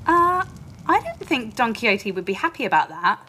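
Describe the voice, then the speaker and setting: Woman guiding kids thru an audio play, high bouncy voice, expressive, bit of background rumble. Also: moderate pace. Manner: instructive, expressive, addresses listeners directly. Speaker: co-presenter guiding listeners. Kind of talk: interactive audio play performance.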